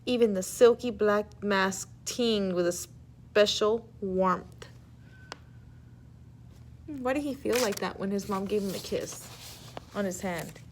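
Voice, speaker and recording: A woman teacher reading a children's story aloud in an expressive, instructive way and asking pupils questions. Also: medium pitch, slow, pauses often, a little hiss or hum, rough recording.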